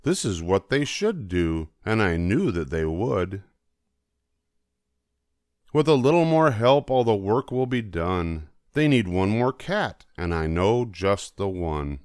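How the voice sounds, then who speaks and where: A man's voice: on the low side, very animated, slow pace, darkish tone, dry recording, clean studio sound. An older man voicing a big, confident, boastful cat in a children's storybook, emphatic and bossy. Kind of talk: children's storybook character reading.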